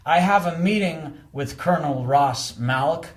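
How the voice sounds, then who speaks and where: A man's voice: a little high, unhurried, voice pushed up, close to an everyday voice. A man voicing a plain-spoken, polite and slightly tentative visitor in an audiobook. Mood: tentative, restrained.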